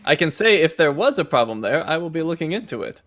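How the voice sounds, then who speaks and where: A hoarse man with a raspy voice, a game producer chatting casually as a guest, hesitant and often restarting his sentences. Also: a little high, very animated, quick pace, fairly bright tone. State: hoarse from a cold. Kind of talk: casual chat while playing.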